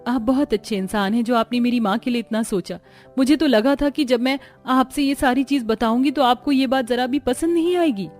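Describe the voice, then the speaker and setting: A woman voicing a gentle, humble young village bride, earnest and deferential, in a cartoon family story. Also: on the high side, a little fast, faint music behind, pitched above the performer's own voice, close to an everyday voice. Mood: grateful.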